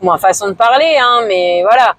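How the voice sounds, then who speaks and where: A woman in her forties talking casually to camera in a vlog, hesitant and low-key, with many fillers and pauses. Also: animated, fairly bright tone. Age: 40s. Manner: low-key, somewhat discouraged. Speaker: vlogger.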